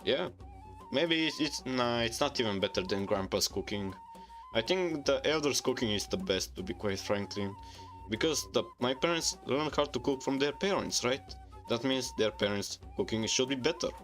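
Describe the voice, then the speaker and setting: A man's voice: mid-range voice, expressive, normal speed, fairly bright tone, faint music behind. A young man from Bulgaria with a Bulgarian accent, Bulgarian native speaker, hosting a casual podcast chat and often correcting himself mid-sentence. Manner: rambling, self-correcting, reads chat aloud. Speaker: podcast host.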